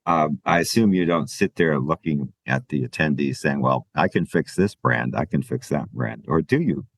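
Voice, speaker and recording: A man hosting a podcast, interviewing a guest in a lively, joking way with halting restarts. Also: rumbling low, dry recording. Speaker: podcast host. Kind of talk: conversational interview.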